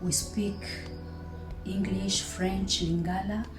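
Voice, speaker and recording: A woman whose first language is Swahili, chatting casually to camera as a vlogger, relaxed and self-correcting. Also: medium pitch, slow. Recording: roomy room with music behind.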